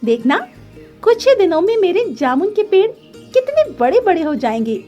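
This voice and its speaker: Woman playing a high, confident little cartoon bird. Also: big pitch swings, moderate pace, warm tone, lo-fi sound, soft background music. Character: cheerful confident little female bird farmer.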